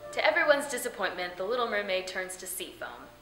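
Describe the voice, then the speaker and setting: A woman's voice: mid-range voice, big pitch swings, fairly quick, a bit bright. A young woman hosting with scripted, theatrical comedic storytelling narration. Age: young adult. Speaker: host. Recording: roomy sound with background music. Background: music.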